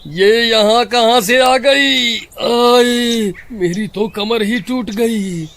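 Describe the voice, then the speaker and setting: Greedy cowardly cartoon milkman, acted by a man: high-pitched, sharp, whiny melodrama. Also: very slow, gravelly, exaggerated voice. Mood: pained, whining. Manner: whiny, exclaiming, pleading. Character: greedy, cowardly village milkman, scolding then begging. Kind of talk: melodramatic cartoon story acting.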